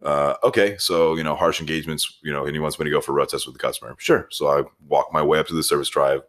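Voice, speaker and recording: Deep-voiced man telling casual stories. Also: steady tone, quick pace, a bit bright. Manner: rambling anecdotes, acts out both sides of dialogue. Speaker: podcast host, shop foreman and transmission technician. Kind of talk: casual chat, storytelling.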